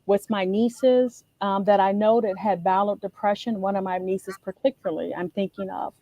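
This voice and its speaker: A woman's voice: mid-range voice, fairly even intonation, normal speed, dark tone, no room sound, low-quality recording. Gracious woman speaking in a dry, close voice. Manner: gracious, reflective. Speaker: panel guest. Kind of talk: webinar panel discussion.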